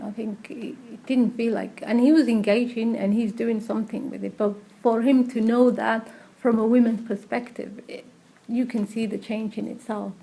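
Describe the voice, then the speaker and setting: A woman's voice: on the high side, creaky, warm tone, low-quality recording. A woman who works as a community support worker, speaking hesitantly with frequent fillers and restarts in a recorded interview.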